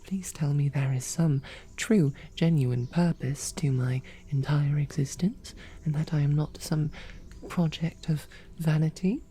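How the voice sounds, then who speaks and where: A woman playing a composed, sarcastic female royal knight who speaks formally and often softly, close to a whisper, in an audio roleplay. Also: low-pitched, measured pace. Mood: hurt, pleading.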